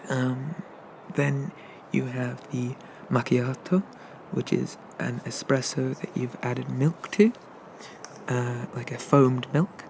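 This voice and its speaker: A man voicing a shy, friendly sea dragon barista in a soft-spoken ASMR roleplay, rambling and hesitant as he eagerly explains things. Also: mid-range voice, level tone, slow pace, vocal fry, slight background noise. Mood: helpful, gentle.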